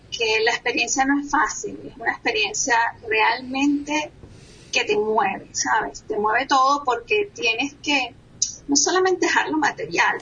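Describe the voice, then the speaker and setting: A woman's voice: high-pitched, sharp tone, dull audio, slight background noise. A woman from Venezuela, a guest on a talk show, speaking in an earnest, reflective way. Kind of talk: radio talk show interview.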